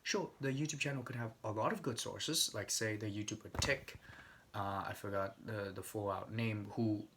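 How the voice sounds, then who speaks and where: A man's voice: on the low side, moderate pace, noisy background. Rambling, swearing young man. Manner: rambling, swears often, frequent restarts and stammering. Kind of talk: casual rambling monologue.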